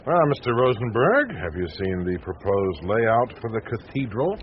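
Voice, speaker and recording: Cynical newspaperman, low voice that swings around a lot, needling and sardonic, old radio play. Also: very animated, moderate pace. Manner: sardonic, pointed, insinuating. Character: cynical, needling male American newspaper reporter, indignant crusader. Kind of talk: 1980s radio drama acting. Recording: muffled, noisy old radio broadcast recording.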